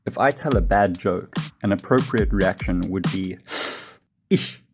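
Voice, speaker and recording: Male, South African accent deep, unhurried comic narrator, deadpan, music bed behind. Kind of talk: comedic scripted narration.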